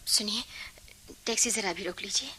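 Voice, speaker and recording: Hushed, troubled young bride-to-be. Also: female voice, medium pitch, normal speed. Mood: troubled, apologetic. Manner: hushed, breathy, hesitant delivery. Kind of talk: Hindi radio play acting.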